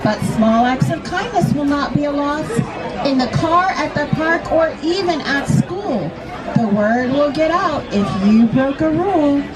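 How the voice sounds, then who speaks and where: A woman reading a storybook aloud to children in an expressive way, often putting questions to them. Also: high voice, measured pace. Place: live reading inside a large toy shop. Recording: busy echoey store, crowd and loud music.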